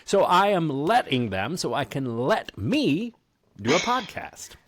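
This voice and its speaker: A man's voice: a little high, very animated, measured pace. A man hosting a podcast in casual, rambling, self-deprecating comedic chat. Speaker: podcast host. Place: basement home studio near a heater. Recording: clear background noise, basement home studio.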